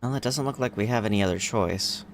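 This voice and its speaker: Low-voiced man, casual game streamer. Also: fast, music in the background. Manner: short offhand reactions. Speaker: livestreamer playing a game. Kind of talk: casual gameplay commentary.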